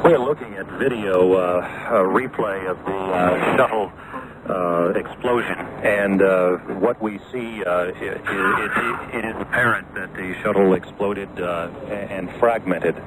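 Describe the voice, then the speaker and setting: A man anchoring live breaking news, halting with many fillers, on a noisy shortwave recording. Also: low voice.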